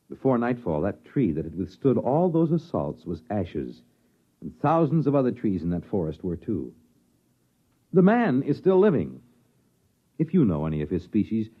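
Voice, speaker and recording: Male announcer, low pitch, deliberate, wry storytelling, expressive, vintage radio, muffled recording. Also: husky. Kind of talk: dramatic storytelling radio public service message. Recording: dull old-time radio recording.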